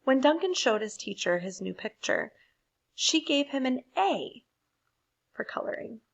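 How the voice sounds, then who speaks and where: Female storyteller for a picture book read-aloud, higher-pitched voice, very unhurried. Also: very animated, vocal fry, highs cut off.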